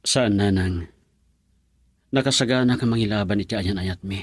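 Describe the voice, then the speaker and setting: Husky, intense young man played by an actor. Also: fairly low pitch, normal speed, no room sound, clean studio sound, close to an everyday voice. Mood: defiant, earnest. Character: determined young man, defiant devoted lover. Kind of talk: radio drama acting.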